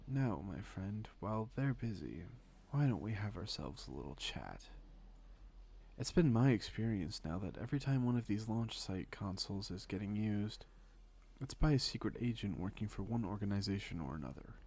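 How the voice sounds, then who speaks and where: A man playing a suave, teasing secret agent in an audio roleplay, speaking with wry politeness and calm menace in a creaky voice. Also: lowish voice, heavily acted. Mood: smooth menace. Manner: polite, wry, unhurried conversational patter with pointed pauses. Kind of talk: scripted audio roleplay, intimate one-sided dialogue.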